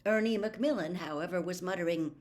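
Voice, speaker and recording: A woman reading a novel aloud as expressive, scripted story narration. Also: on the low side, brisk. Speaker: audiobook reader.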